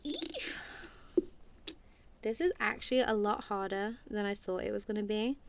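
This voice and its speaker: A woman's voice: mid-range voice, animated, a little slow. A woman playing a goofy, affectionate friend in an ASMR roleplay, chatting casually in a playful, giggly, rambling way. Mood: playful, flustered.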